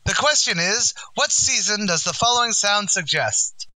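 A man's voice: sits high, lively intonation, normal speed, pushed and bright, no room sound, exaggerated voice, poor audio. A man playing a blustering, wisecracking tavern manager who acts the grand quizmaster in a radio comedy. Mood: wry, blustering. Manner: mock-grand quizmaster, malapropisms. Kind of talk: old-time radio comedy acting.

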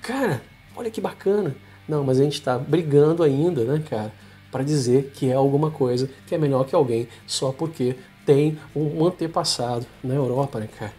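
A man's voice: mid-range voice, moderate pace, mellow, breathy edge, faint music behind. A Brazilian man in his forties giving an informal, emphatic opinion monologue to camera in colloquial, slangy speech. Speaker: vlogger. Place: small home office, indoors.

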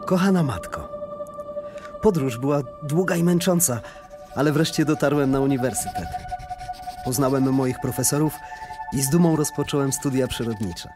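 A man plays a driven young male scientist in an audio drama. He speaks at a middling pitch with obsessive intensity. Music runs clearly behind him. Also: moderate pace, pauses often, natural voice. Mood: proud, eager. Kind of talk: radio drama acting, reading letters.

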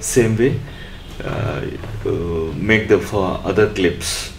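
A man presenting an electronics tutorial step by step in a halting, instructional way. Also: on the low side, a little hiss or hum.

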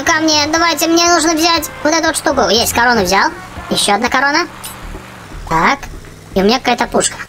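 A woman hosting a gaming channel for children, giving chatty, excitable running commentary on her play. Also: high voice, poor audio. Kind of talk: casual game commentary for children. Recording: game music behind the voice. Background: video game music.

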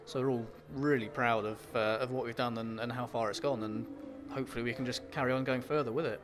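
A man with an English accent, an author answering interview questions in a halting way, full of ums and repeated words. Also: mid-range voice, steady tone, background noise.

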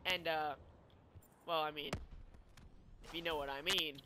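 A young man talks over a video game in a casual, rambling way. His voice sits a little high for a man. The recording is clearly noisy, with game sound behind him. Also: fairly even intonation, unhurried. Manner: rambling, informal. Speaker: gaming video creator. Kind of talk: casual storytelling and chat over gameplay.

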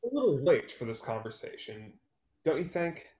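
A man's voice: a little high, very animated, moderate pace, a little mellow. Liam, bitter songwriter acted by a man, echoey. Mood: guarded. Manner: terse, sarcastic. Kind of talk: online one-act play table read. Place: small room at home, video call. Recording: roomy, echoey video-call sound.

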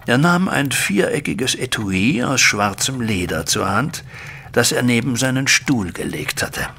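Audio drama banker: anxious, formal, wordy, with a crisp forward tone and some fry. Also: male voice, mid-range voice, big pitch swings, unhurried, sharp tone, a little hiss or hum, exaggerated voice. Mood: recounting. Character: anxious, respectable male banker recounting his troubles. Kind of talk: audio drama acting, narrating a flashback.

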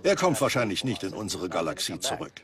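Earnest scientist, murmuring voices behind. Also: male voice, sits low, big pitch swings, quick pace, a crowd in the background. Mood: earnest, explanatory. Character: earnest male scientist warning of cosmic threats. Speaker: scientist interviewed in a documentary. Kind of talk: dubbed documentary expert explanation.

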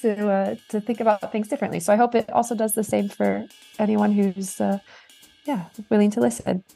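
A woman's voice: medium pitch, level tone, a little fast, vocal fry, dark tone, faint music behind. A woman co-hosting a podcast, chatting casually and enthusiastically with plenty of filler words. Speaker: podcast co-host. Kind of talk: casual chat.

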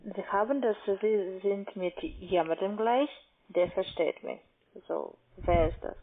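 Russian-accented woman on a tinny phone line. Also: medium pitch. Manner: halting, non-native German phrasing. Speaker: call-centre broker, phone scammer. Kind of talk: unscripted phone argument. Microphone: phone call.

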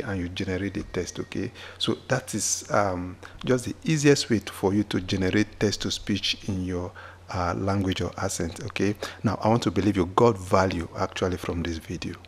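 A man walking viewers through a software tutorial, often repeating himself and checking in with "okay?". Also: deep voice, gravelly, slightly rough. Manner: repetitive, with frequent "okay?" checks. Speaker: tutorial host. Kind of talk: screen-recorded software tutorial. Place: indoors at a computer, voice-over on screen capture.

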